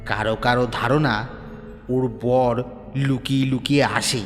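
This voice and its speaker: A man playing a calm tea stall keeper. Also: medium pitch, measured pace, in a large hall, faint music behind, lightly acted. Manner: calm, matter-of-fact storytelling. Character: middle-aged tea stall man telling a local story.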